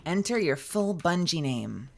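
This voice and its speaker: A woman's voice: fairly low pitch, very animated, measured pace, vocal fry, darkish tone, faint music behind. A young woman streaming games live, chatting playfully with her chat in a slightly scratchy voice.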